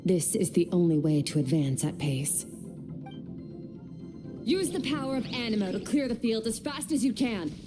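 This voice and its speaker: Stern female combat master for a video game, played by a woman: measured, commanding, formal, with prominent music under the lines. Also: mid-range voice, sing-song, natural voice. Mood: calm, instructive, then urgent, commanding. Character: stern, composed female master training a pupil in elemental power. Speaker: combat mentor. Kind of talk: video game character dialogue. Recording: loud music over the voice.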